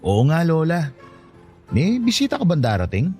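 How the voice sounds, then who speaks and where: A fairly high, lively voice of a younger Filipino husband. He is respectful, fond and gently teasing, in radio drama style over faint music. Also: moderate pace. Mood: casual, caring. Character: affectionate, grateful younger married man, playfully teasing.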